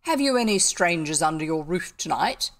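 A woman voicing a stern, pompous gentleman from a storybook, formal and insistent in manner. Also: fairly low pitch, sing-song, normal speed. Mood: stern, demanding.

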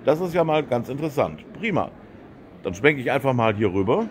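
A man's voice: mid-range voice, very animated, brisk, a little hiss or hum. A man hosting a casual interview at a trade fair, chatty and friendly with lots of agreeing. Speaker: host. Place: exhibition hall.

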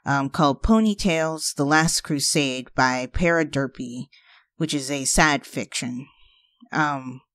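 Slow, hesitant reaction commentator. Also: mid-range voice, fairly bright tone, no room sound. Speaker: reaction commentator and channel host. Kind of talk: casual blind reaction commentary.

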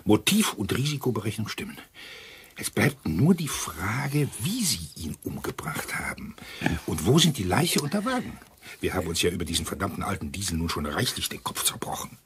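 Old-school military sleuth, very raspy, swooping intonation, emphatic, orderly, radio crime drama. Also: male voice, on the low side, huge pitch swings, normal speed, exaggerated voice. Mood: keen, reasoning. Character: brisk, appreciative military man, amateur sleuth. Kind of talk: German radio crime play acting.

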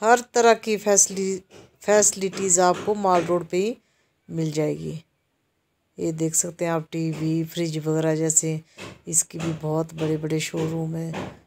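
A woman from Himachal Pradesh narrates a walking tour through a busy town market. She speaks slowly and unhurriedly, her voice staying flat with little rise and fall. She explains the shops to viewers as she films on a handheld camera. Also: deep voice, slight background noise. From Himachal Pradesh, India. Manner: slow, even-toned, explaining to viewers. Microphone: handheld camera while walking.

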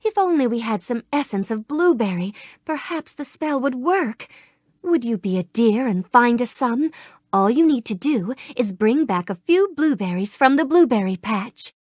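A woman voicing a gentle young princess in a game, with a soft, sweet, refined voice and a polite, gracious way of talking, recorded close in a studio. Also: on the high side, expressive, moderate pace, no room sound. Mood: requesting. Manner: polite, gracious, warmly grateful. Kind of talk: game voice clips. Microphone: close studio mic.

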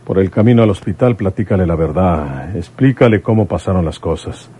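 Deep, raspy father. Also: lively intonation, a little fast, warm tone. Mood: sober, sympathetic. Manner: folksy rural turns of speech. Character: rustic, sober older father of a grown son. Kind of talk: radio drama acting.

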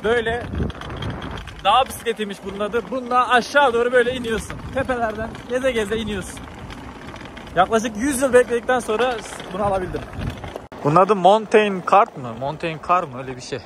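Travel vlogger: male, twenties, higher-pitched voice, informal off-the-cuff delivery, noisy outdoor handheld recording. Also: brisk. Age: 20s. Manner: informal, slangy, thinking aloud. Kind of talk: casual spontaneous vlog chat. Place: outdoors on mountainside, some in cable car. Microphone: handheld camera at arm's length.

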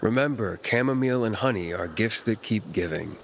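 An actor playing a wry, easygoing young pilgrim in a video game, speaking casually and laconically. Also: male voice, lowish voice, expressive, brisk, a little hiss or hum. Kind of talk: video game dialogue acting.

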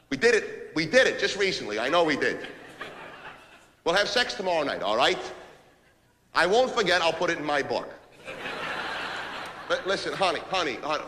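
A man's voice: a little high, sharp tone, a little hiss or hum. An actor playing an abrasive, self-important New businessman, ranting impatiently in a theatrical comic monologue of phone calls. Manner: impatient, ranting, barking orders and repeating himself. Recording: reverberant hall.

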